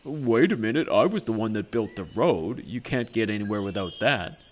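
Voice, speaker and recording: A man voicing keen young spider sons in a puppet story, exclaiming loudly and insistently. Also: fairly low pitch, expressive, normal speed, background noise, lightly acted. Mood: indignant.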